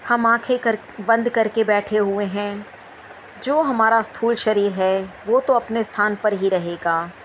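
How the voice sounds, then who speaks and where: A woman guides a meditation at a very unhurried pace. Her pitch sits in the middle, and she repeats gentle instructions with long pauses. Faint background noise runs under the voice. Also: fairly even intonation.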